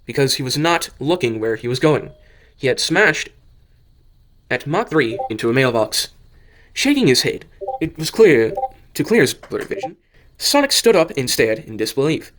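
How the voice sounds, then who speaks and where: A man reading a scripted story as narrator, haltingly, often stumbling and rereading phrases. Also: mid-range voice, sing-song, a bit bright, poor audio. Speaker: narrator of an amateur audio drama.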